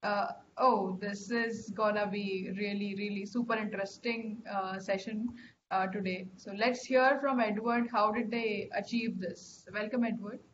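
Woman host, mid pitched, interviewing a guest over a muffled line with faint noise. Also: fairly even intonation, a little slow. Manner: polite, hesitant with many fillers. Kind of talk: hosting an interview.

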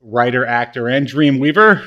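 Male podcast co-host, mid-pitched, casual chat delivery with playful hyperbole. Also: animated, normal speed, lo-fi sound.

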